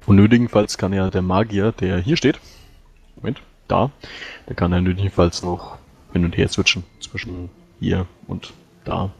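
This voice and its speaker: A man's voice: sits low, flat delivery, moderate pace, vocal fry, a little mellow, breathy edge, muffled recording, faint music behind. A man talking casually as a player in a tactical game discussion.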